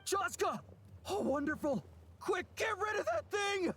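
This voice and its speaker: A man voicing an excitable, blustering little creature in a video game, prone to panic. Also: very high voice, a little slow, heavily acted. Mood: alarmed.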